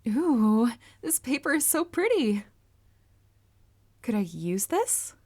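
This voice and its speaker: A woman playing a polite, earnest, bashful young anime heroine with a crush, speaking formally and gently gushing in a roleplay audio. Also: on the high side, big pitch swings, slow pace, full character voice. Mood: curious, eager. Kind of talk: anime character roleplay audio, addressed to listener.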